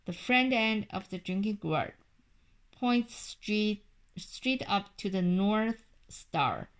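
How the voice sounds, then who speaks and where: A bold little boy who knows more than his friend, cocky and teasing, voiced by a woman reading aloud. He speaks at an unhurried pace. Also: medium pitch, lively intonation.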